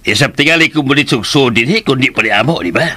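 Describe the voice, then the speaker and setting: Genial rich dad, bright forceful voice, swooping intonation, Cebuano accent, radio drama. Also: male voice, medium pitch, animated, quick pace. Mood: amused. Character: genial wealthy middle-aged father and employer. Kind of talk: Filipino radio drama acting.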